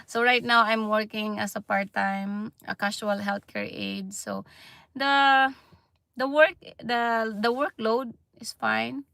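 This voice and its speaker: A Filipino woman in her thirties talks slowly and haltingly on a casual livestream, restarting often and trailing off. Her voice has a gravelly creak, and the close recording is dry with no room sound. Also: fairly high pitch, fairly even intonation, pushed and bright, dry recording. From Philippines. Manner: halting, many fillers and restarts, laughs often. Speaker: livestream vlogger.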